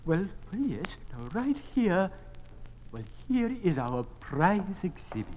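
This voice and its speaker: A man's voice: high voice, big pitch swings, slow, a little mellow, a little hiss or hum, exaggerated voice, poor audio. An actor playing a timid, genteel, flustered male museum curator in a radio drama, hesitant and stammering. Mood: eager, flustered. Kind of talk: 1950s radio drama acting.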